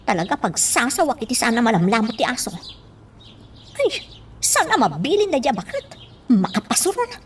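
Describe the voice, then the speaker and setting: An actress playing an anxious, scolding daughter in an Ilocano radio drama. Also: female voice, fairly high pitch, very animated, normal speed, long pauses, recorded from a distance, a little hiss or hum. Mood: annoyed muttering.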